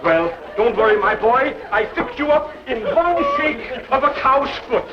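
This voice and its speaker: A fussy, chuckling dentist with a thick German accent in a vintage comedy sketch. He talks very high, and his bossy orders swing up and down. The sound is dull and lo-fi, with a steady hiss under it. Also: moderate pace, over a noisy crowd. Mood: coaxing. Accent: German, put on. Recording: dull lo-fi old recording with hiss.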